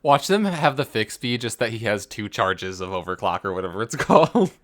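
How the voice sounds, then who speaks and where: A man's voice: lowish voice, sing-song, fairly quick, a bit bright. A man co-hosting a casual gaming chat, explaining things in a loose, hesitant way with frequent asides. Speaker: podcast co-host.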